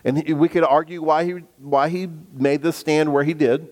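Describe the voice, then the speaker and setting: A man preaching a sermon in a deep bass voice, earnest, slowing down to stress his points and acting out the voices of biblical figures. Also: steady tone. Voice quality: bass voice at the moment. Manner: acts out the voices of biblical figures when quoting them. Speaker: pastor. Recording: long reverb of a hall.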